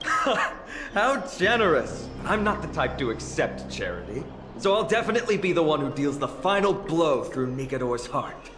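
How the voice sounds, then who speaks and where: A man's voice: a little high, very animated, normal speed. A man voicing a confident, sporting young hero in a video game, courteous and self-assured. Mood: confident, friendly. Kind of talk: English video game voice acting. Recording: echoey, music underneath.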